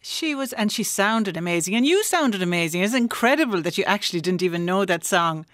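An Irish woman hosts a radio phone-in with easy enthusiasm. Her pitch sits in the middle. She is recorded dry and close in the studio. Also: expressive, a little fast, fairly bright tone, no echo. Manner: enthusiastic, gushing. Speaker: radio host.

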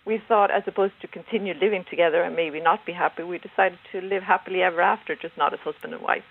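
A middle-aged woman from Denmark calls in to a radio show, teasing the host in a playful way. Her voice has a gravelly fry to it. The line is tinny and phone-like. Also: lowish voice, fairly even intonation, fast talker. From Denmark, grew up in Sweden. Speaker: caller. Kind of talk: phone-in chat.

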